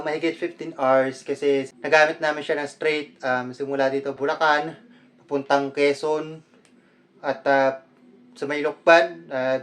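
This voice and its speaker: Filipino man casually presenting an unboxing. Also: medium pitch. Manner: conversational, code-switches Tagalog and English. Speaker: vlogger. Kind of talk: casual product unboxing vlog.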